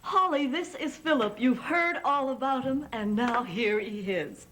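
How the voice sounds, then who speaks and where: A woman's voice: high-pitched, unhurried, noisy background. An eager, anxious lady of the house. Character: anxious, fond woman of the household. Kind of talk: 1960s TV soap acting. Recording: old TV soundtrack with music.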